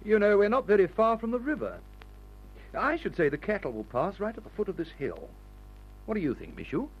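A worrying, fussy young airman in an old radio serial. His voice is high and leaps about excitably. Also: brisk, slightly rough, highs cut off, exaggerated voice. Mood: worried. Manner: worried, hesitant, excitable. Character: anxious, fussy, well-bred young airman, easily alarmed. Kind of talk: old-time radio adventure serial acting.

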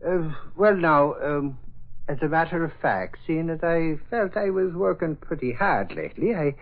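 An actor playing a bumbling, boastful newspaper reporter who stammers and hesitates, in a vintage radio drama. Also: male voice, on the high side, lively intonation, moderate pace. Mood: sheepish. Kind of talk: 1940s radio acting. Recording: dull old radio recording.

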